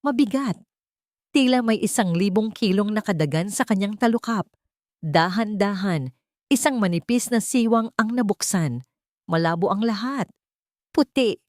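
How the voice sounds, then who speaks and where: A woman's voice: medium pitch, expressive, moderate pace, pauses often, no room sound, studio quality. A woman narrating a dramatic audiobook story in a scripted storytelling style.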